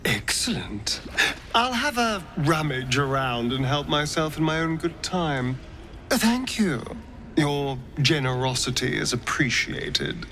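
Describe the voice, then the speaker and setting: An actor playing a sly, theatrical, self-indulgent rogue in a fantasy video game, with an arch, teasing, flamboyant delivery. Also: male voice, fairly high pitch, very animated, slow pace, a bit bright, a little hiss or hum, exaggerated voice. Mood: smugly pleased. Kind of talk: video game character dialogue.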